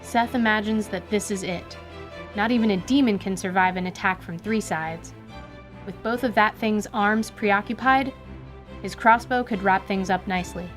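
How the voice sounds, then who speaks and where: A woman's voice: medium pitch, normal speed. A woman giving dramatic, scripted narration for a fantasy radio play. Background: music.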